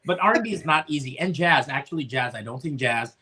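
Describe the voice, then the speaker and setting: A man's voice: on the high side, animated, brisk, bright, forceful tone, husky. A man in his forties from the Philippines, an opera singer chatting as a guest, rambling with lots of restarts and fillers. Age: 40s. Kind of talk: casual chat.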